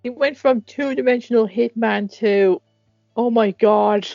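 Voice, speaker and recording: A woman's voice: fairly high pitch, unhurried, vocal fry, dry recording, dull audio. An Irish woman in a casual fan chat, joking playfully and trailing into hesitant asides. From Ireland. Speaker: co-host of a fan tribute chat. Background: music.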